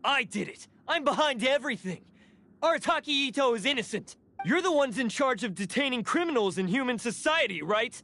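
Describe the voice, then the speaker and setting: A man's voice: extremely high pitch, expressive, a little fast, bright, forceful tone, full character voice. A man voicing a proud, guilt-ridden blue oni in a video game, intense and bitter in manner. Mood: bitter, resolved. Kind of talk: dramatic game voice acting. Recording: background music under the voice.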